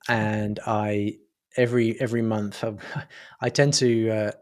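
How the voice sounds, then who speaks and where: A man's voice: fairly low pitch, flat delivery, unhurried, darkish tone. A man speaking casually as a podcast guest, thoughtful and wordy, circling back as he explains things. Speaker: podcast guest, online business owner. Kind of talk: casual interview conversation.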